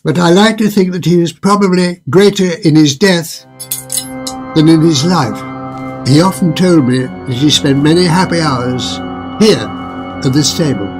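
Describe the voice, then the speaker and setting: An actor playing a suave, faintly sinister restaurant owner in a radio drama, with a smooth, purring, deliberately polite and husky delivery. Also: male voice, a little high, lightly acted. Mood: smooth, secretive. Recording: music under the voice.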